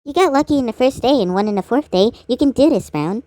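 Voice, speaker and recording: A woman's voice: fairly high pitch, lively intonation, fast talker, mellow, no room sound. A woman doing amateur cartoon voice acting in an exaggerated, theatrical manner.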